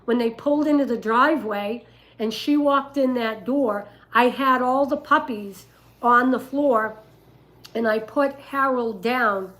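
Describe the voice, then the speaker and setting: Slow-talking older woman with a rough voice. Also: fairly high pitch, a bit bright, poor audio. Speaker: dog breeder and livestream host. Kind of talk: casual livestream storytelling and advice.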